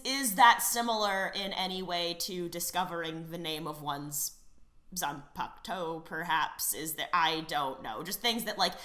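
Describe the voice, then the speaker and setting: A woman co-hosting a casual anime podcast, talking in animated bursts, laughing and trailing off into asides. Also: on the low side. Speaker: podcast co-host.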